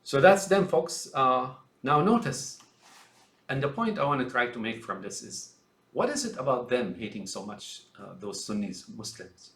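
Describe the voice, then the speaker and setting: A man's voice: mid-range voice, very animated, moderate pace, a bit bright, roomy sound. A man from Syria giving a rambling, emphatic political monologue, often calling his listeners folks. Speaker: commentator.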